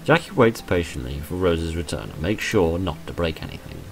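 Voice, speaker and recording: A man's voice: sits low, lively intonation, moderate pace. A man hosting a visual novel playthrough, reading the story text aloud and adding his own casual asides.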